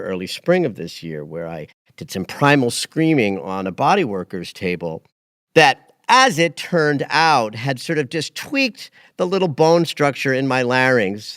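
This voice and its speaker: A man in his late fifties hosting an astrology podcast, talking casually and expressively, rising to emphatic highs and dropping into quiet asides. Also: dry recording, clean studio sound. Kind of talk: casual conversational chat.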